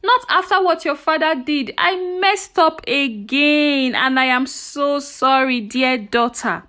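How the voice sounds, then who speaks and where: A woman's voice: sits high, slow, sharp tone, full character voice. A woman storyteller voicing a protective mother with emotional, emphatic delivery in a dramatic folktale. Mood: remorseful, tender. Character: heartbroken, indignant mother, protective of her young daughter. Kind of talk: folktale storytelling character dialogue.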